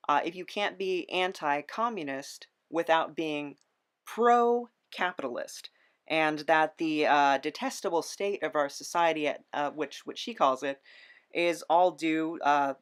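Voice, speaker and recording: Podcast host with a medium pitched voice, chatting casually, kinda halting while explaining stuff. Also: fairly bright tone. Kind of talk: casual conversational interview.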